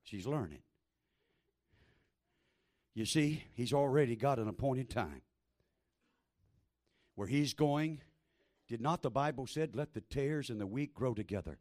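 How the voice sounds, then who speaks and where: A man preaching a fervent sermon in a small church, repeating phrases and building to shouted exhortation over a handheld microphone. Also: medium pitch, lively intonation, a little slow, pauses often. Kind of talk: Pentecostal-style sermon.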